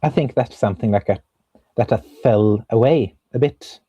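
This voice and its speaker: A young man with a Norwegian accent, a composer talking as a guest in a thoughtful, unhurried conversation, pausing often to find his words. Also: medium pitch, dark tone, slightly rough, no room sound, muffled recording. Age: young adult. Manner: thoughtful, searching for words with many pauses and fillers. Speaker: composer and viola player, guest. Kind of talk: casual conversation.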